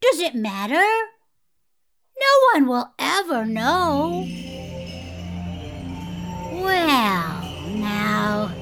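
A flighty fairy aunt in a fairytale audio drama. Her voice is very high and she takes her time, dithering and chattering giddily to herself. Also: big pitch swings, drawn-out words, long pauses, music in the background, exaggerated voice. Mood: gleefully sneaky. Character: flighty, giggly fairy aunt who sneaks magic.

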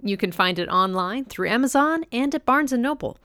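A woman's voice: fairly high pitch, animated, a little fast, bright, forceful tone, no echo, studio quality. A woman reading a scripted announcement and promotional intro for a podcast. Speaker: show announcer.

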